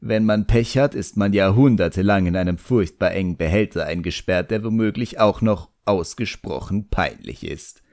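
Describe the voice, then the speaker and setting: A man's voice: low-pitched, normal speed, darkish tone, no room sound, clean studio sound. A man narrating an audiobook story in the first person with a wry, scripted delivery.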